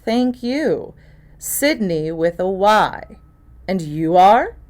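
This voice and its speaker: A woman's voice: medium pitch, lively intonation, extremely slow, a little mellow. A woman voicing a tough, wry female detective in an audiobook, dry and matter-of-fact. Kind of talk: acted audiobook dialogue.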